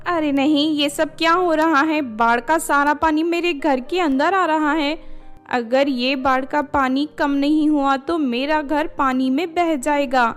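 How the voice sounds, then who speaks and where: Woman as young stepdaughter, high voice, hot-tempered, dramatic, animated storybook cartoon. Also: unhurried, faint music behind. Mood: panicked, desperate. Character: spiteful, selfish young stepdaughter, hot-tempered, later panicked.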